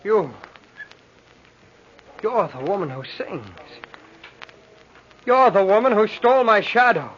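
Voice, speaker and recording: A man's voice: sits high, very animated, slow pace, pauses often, fairly bright tone, heavily acted. A man playing a haunted, hot-tempered young nobleman in an old radio horror drama, theatrical and intense. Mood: suspicious, indignant. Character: haunted, raging young nobleman obsessed with his lost shadow. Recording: dull, noisy old radio recording.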